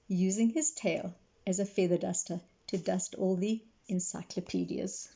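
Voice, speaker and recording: Woman, children's read-aloud, explanatory storytelling, mellow tone, slightly lo-fi recording. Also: medium pitch. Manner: clear explanatory storytelling for young listeners. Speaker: librarian reading a picture book aloud to children.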